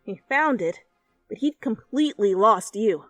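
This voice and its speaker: A woman reading fan fiction as an expressive, dramatic narrator who swears freely. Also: mid-range voice, measured pace, no room sound. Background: soft music.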